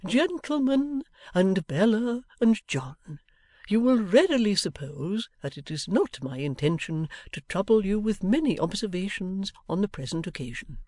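A woman reading an audiobook, voicing a gentle, sentimental older father who speaks tenderly and a little ceremoniously. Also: mid-range voice, sing-song, no room sound, studio quality, pitched above the performer's own voice. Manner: tender and ceremonious, slow and tremulous.

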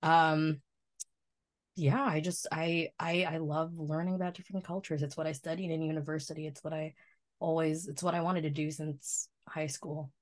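Young canadian woman chatting on a podcast, low voice, lots of um. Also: flat delivery, moderate pace. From Canada, Ottawa. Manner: chatty, laughs often, many ums. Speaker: podcast guest. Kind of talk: casual podcast chat.

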